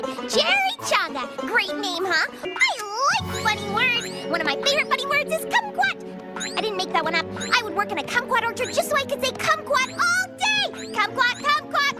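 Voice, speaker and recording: A woman's voice: very high-pitched, very animated, moderate pace. A woman voicing a hyper, giddy young female cartoon pony who rambles in a bouncy, sing-song way. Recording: clip with loud music.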